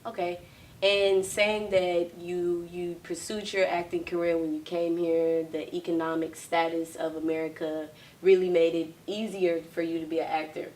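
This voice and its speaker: Woman interviewer, lowish voice, casual and affirming, a bit far from the mic. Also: little pitch movement, moderate pace, a little mellow. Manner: friendly, affirming with frequent "mm-hmm". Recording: distant mic.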